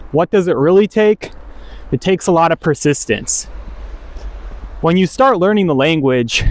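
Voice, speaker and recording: Man, native English speaker, slightly high-pitched voice, casual video monologue, conversational, unscripted. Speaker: language-learning video creator.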